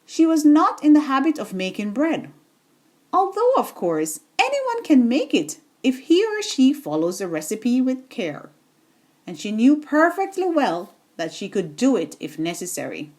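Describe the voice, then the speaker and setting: A woman reading a children's bedtime story in an expressive, deliberate storytelling manner. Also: high voice.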